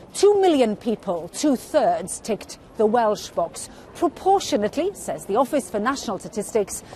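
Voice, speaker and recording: A woman reporting a broadcast news story in a measured, clear voice. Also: on the high side, a little mellow, a little hiss or hum.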